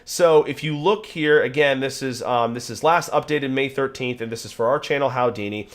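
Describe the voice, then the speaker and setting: A man presenting a software tutorial, upbeat and chatty, his voice a little nasal from being sick. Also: mid-range voice, moderate pace. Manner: upbeat, chatty, casual fillers. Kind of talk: screen-recorded software tutorial.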